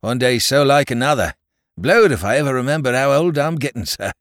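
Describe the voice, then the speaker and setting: A man putting on a cheery Cockney landlord in his fifties who chatters eagerly in a lively, bouncing voice, with dropped grammar and a raised pitch. The recording is dry and close. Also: a little fast, no room sound, close to an everyday voice. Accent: London Cockney. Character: cheery Cockney male landlord, about fifty-five.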